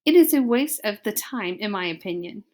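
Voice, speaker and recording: A woman's voice: medium pitch, expressive, fairly quick, a little mellow, natural voice. A woman voicing a vain, dramatic mother mouse with a French accent and broken English. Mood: haughty. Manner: theatrical, haughty, broken English phrasing.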